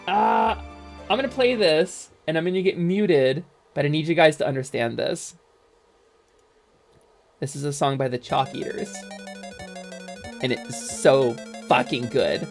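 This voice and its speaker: A young adult woman streaming a game, chatting casually and excitably, swearing freely. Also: low voice, unhurried, long pauses, soft background music.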